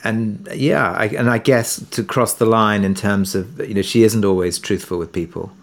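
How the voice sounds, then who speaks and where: A man's voice: on the low side, fairly even intonation, moderate pace. A man being interviewed as a writer, answering reflectively in hesitant, tentative phrases.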